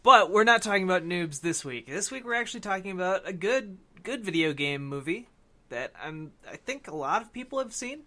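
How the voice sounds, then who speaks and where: A man's voice: high voice, expressive, brisk, sharp tone. A man hosting a podcast, talking casually about films in a conversational way. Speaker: podcast host. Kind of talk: casual film discussion.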